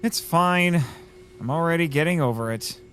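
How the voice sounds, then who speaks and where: A man's voice: a little high, normal speed, a bit bright, clean tone, long reverb tail, lightly acted. A man playing a weary, sardonic radio host in an audio drama, dry and dramatic, switching between first-person narration and dialogue. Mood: dismissive. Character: sardonic, weary young male radio host who survived a crash.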